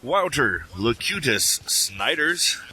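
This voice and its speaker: A man's voice: mid-range voice, very animated, takes it slowly, bright, forceful tone, breathy edge. A man hosting a casual podcast, teasing his co-hosts and laughing often. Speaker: podcast host.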